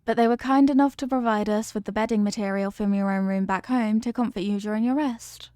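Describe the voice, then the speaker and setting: A woman voicing a possessive, regal evil queen in a scripted ASMR roleplay, speaking formally and in measured phrases, doting yet coolly manipulative. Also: mid-range voice, no echo, studio quality, natural voice. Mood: gracious, doting. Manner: formal, measured, softly commanding.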